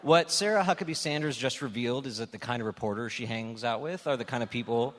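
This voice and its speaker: A man's voice: mid-range voice, quick pace, a bit bright. A male comedian on a live panel, riffing with mock indignation. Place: large hall on stage. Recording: echoey live hall.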